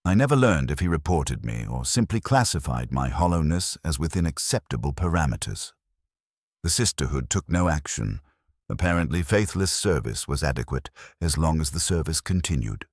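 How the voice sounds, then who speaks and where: A man narrating as a very deep-voiced, hollow warrior nun. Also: no echo, clean studio sound, heavily acted. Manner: detached, solemn, clipped sentences. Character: hollow, faithless warrior nun recounting her grim life. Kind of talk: scripted first-person dramatic narration.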